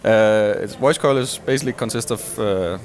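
A man in his thirties, a technical expert in an interview, explaining things in a halting, measured way with many pauses. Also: lowish voice. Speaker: loudspeaker driver designer being interviewed. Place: glass-walled booth at a trade show hall. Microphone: headset.

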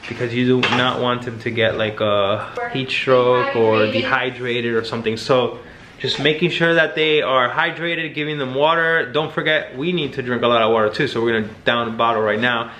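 A man in his thirties chats to a handheld camera in an easy, upbeat way, sharing his day as he goes. His voice sits at an ordinary middle pitch. Loud street and traffic noise runs under everything. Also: steady tone, normal speed. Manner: chatty, upbeat, talks straight to the camera. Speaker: travel vlogger. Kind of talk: casual vlog talk to camera. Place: city streets, car and apartment while travelling. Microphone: handheld camera at arm's length.

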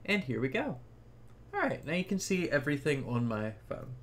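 A man's voice: a little high, very animated, moderate pace. A man narrating a casual tech tutorial, explaining things informally step by step.

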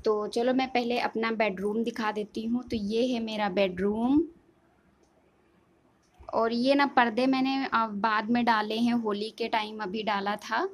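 A young woman with a fairly high voice. She talks casually, as a vlogger showing viewers around a home. She explains things plainly and often rephrases what she has just said. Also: steady tone, a little slow. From India. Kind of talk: casual house tour narration. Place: inside a family house, moving room to room. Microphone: handheld phone behind the camera.

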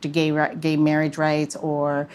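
A woman hosting a casual conversation, speaking earnestly in halting phrases. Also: deep voice, completely flat delivery, darkish tone. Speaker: podcast host.

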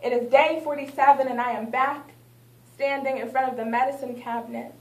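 A woman's voice: high voice, fairly even intonation, brisk, echoey room. A young woman performing spoken word poetry, reciting dramatically with rhythmic refrains, recorded by a distant camera in a small gallery. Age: young adult. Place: small art gallery, indoors. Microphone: far camera, no mic.

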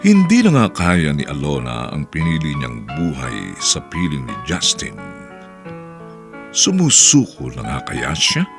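A man narrating and announcing the credits of a radio drama in a slow, dramatic way. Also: extremely low pitch, wildly swooping pitch, music in the background.

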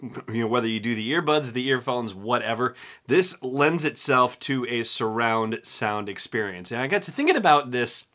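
A man's voice: medium pitch, lively intonation, normal speed, a bit bright. A man in his forties talking casually and emphatically as an enthusiastic solo podcast host, recorded at a desk in a small room at home.

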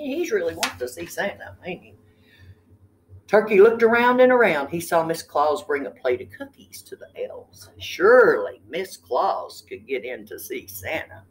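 A woman's voice: on the low side, a little hiss or hum. An older woman reading a children's picture book expressively, with chatty asides and questions to the listeners. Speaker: read-aloud storyteller. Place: indoor room with tiled ceiling.

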